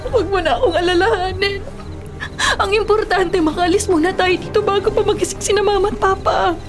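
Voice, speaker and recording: A woman's voice: very high-pitched, fairly even intonation, a little fast, over music. An actress in a Filipino radio drama playing a desperate, loyal young woman, urgent, pleading and emotional. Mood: urgent, reassuring. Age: young adult. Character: desperate, loyal young daughter rescuing her boyfriend.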